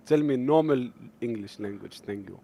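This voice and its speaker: A man's voice: medium pitch, normal speed, gravelly, mellow, a little hiss or hum. A man from Iran with an Iranian accent, a guest in a heated interview, blunt and combative, swearing freely. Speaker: guest streamer.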